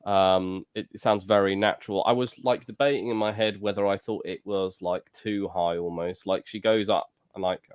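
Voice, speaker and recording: A man's voice: deep voice, steady tone, normal speed, hardly any pauses, clean tone. A man co-hosting a music review podcast, chatting hesitantly with many ums while praising a singer's vocals. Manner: hesitant, lots of ums, enthusiastic about vocals. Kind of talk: casual chat.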